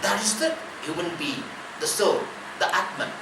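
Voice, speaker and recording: A woman teaching spirituality in a slow, measured way, stacking short phrases one after another. She sits far from the mic in a roomy space, with steady ambient noise behind her. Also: medium pitch. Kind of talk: spiritual discourse.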